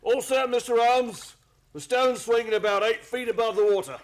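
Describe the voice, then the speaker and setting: Guy doing british bobby sergeant for old radio drama, high voice, admiring, plain spoken. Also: normal speed. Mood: obliging. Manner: plain-spoken, deferential, colloquial. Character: obliging, admiring working-class British police sergeant. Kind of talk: old-time radio play acting.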